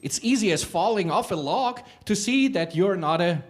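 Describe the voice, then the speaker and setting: A man's voice: sits high, animated, moderate pace. A man voicing a pack of dogs who bluntly reject another animal.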